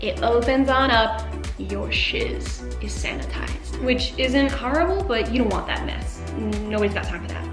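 A woman's voice: on the high side, expressive, a little slow, echoey room, over music. Bubbly, slangy woman vlogger. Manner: bubbly, slangy, talks straight to camera. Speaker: fitness vlogger. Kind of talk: casual chatty product rundown. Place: bright indoor home kitchen.

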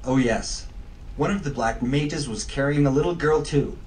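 A man's voice: mid-range voice, moderate pace, darkish tone, natural voice. A man playing a haughty, stern temple bishop in a video game fandub, speaking in a pompous, solemn way. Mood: grave recounting. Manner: pompous, solemn, archaic phrasing. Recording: distant mic under game music.

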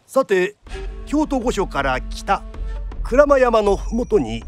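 High-pitched, animated traditional storyteller. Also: male voice, slow pace, soft background music, exaggerated voice. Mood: dramatic narration. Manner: declamatory, formal, dramatic. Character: lively traditional storyteller narrating a period tale. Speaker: narrator. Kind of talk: theatrical kodan storyteller narration.

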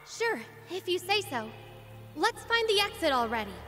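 A woman voicing an earnest, feisty young heroine in a video game, expressive in delivery. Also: very high-pitched, moderate pace. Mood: casual. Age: young adult. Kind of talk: video game cutscene acting. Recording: long hall reverb, music underneath.

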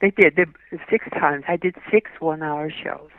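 A woman's voice: low voice, dry recording. An older woman speaks graciously, stretching her words and affirming with frequent yeses. Her voice comes down a thin, tinny phone line. It sounds dry, with no room around it. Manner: gracious, drawn-out, affirming yes often. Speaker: guest actress. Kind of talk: interview recollection.

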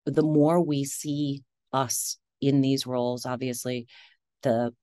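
A woman's voice: very deep voice, mellow, no room sound, studio quality. A woman in her forties, an actress, chatting animatedly on a video call with many restarts and laughs. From United States. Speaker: actress, interview guest.

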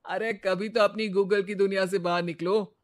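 A man voicing a teasing, self-assured young husband in an animated story. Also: high-pitched, normal speed, close to an everyday voice. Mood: challenging.

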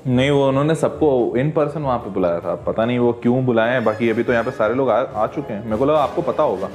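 A man's voice: medium pitch, fast, a little mellow. A man, an online teacher chatting casually in a playful, teasing manner. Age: 30s. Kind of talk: casual prank phone call chat. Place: indoor teaching studio. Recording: background music under the voice. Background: music.

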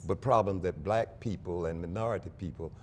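An older man, a pastor, speaking earnestly and at a measured pace in a studio interview on a lapel mic, with small restarts. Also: low-pitched. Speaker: pastor and convention guest.

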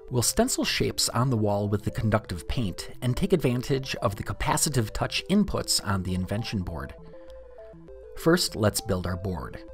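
A man reading a scripted DIY tutorial narration in a clear, step-by-step instructional way. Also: fairly low pitch.

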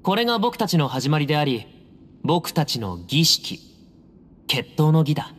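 Self-assured young heir, swooping and echoey. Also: male voice, a little high, big pitch swings, normal speed, husky. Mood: solemn. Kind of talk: Japanese anime game voice acting. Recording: reverberant hall, music underneath.

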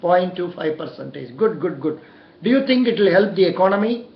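An older man with an Indian accent conducts a practice interview in an encouraging way, often giving short approvals. His voice sits a little high. The recording is echoey and somewhat dull, made in a room. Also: expressive, brisk. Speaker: interviewer and career coach. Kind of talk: mock job interview over the telephone. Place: small indoor room.

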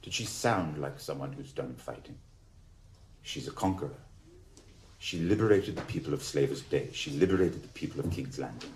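A cynical adviser, super low voice, measured, echoey room. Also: male voice, animated, darkish tone. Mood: grave, insistent. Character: grave, cynical adviser warning about his queen. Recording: roomy, faintly noisy.

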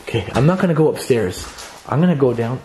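A young man vlogging as he explores an abandoned house, talking excitedly to his viewers and swearing now and then, recorded on a handheld camera. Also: medium pitch, fast talker, reverberant, slight background noise, rough recording. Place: dark abandoned house, indoors. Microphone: handheld camera mic.

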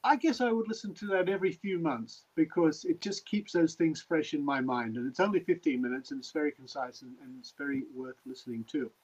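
A genial, wordy middle-aged man hosts an online talk from a home study. His voice sits a little high. The video call audio is dull and lo-fi. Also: brisk, few pauses, darkish tone. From Australia. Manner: genial and wordy, wraps up with thanks. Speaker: host.

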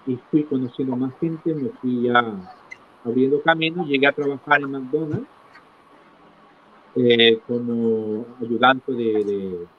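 A man's voice: mid-range voice, slow pace. Middle-aged man on a tinny, noisy call. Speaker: interview guest. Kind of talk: recounting his life in a conversational interview. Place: small indoor room, video call. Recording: tinny phone-like call with clear noise.

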